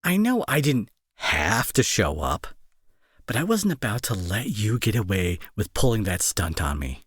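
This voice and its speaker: Man acting a irritable young bully, wildly swinging pitch. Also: a bit bright, breathy edge, no room sound, clean studio sound, full character voice. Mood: sarcastic, accusing. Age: young adult. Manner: sarcastic, scolding, exasperated. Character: irritable young male bully, gruff but secretly worried. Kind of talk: intimate roleplay audio drama acting.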